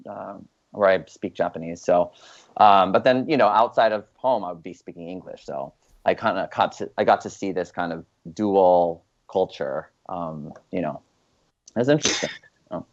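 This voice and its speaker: Laid-back man with a low voice. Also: normal speed. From Los Angeles. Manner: relaxed, rambling with many fillers. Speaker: guest actor. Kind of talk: casual interview chat. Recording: dull lo-fi sound.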